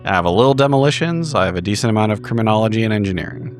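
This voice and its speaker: A man's voice: lowish voice, expressive, very fast. A man casually improvising his character's actions as a player in a tabletop roleplaying game, swearing now and then. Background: background music.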